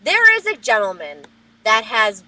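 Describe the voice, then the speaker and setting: Loud, high-pitched woman ranting. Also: huge pitch swings, unhurried. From New Jersey. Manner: loud, profane, heated ranting. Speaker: vlogger. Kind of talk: webcam rant. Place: at work. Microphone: webcam.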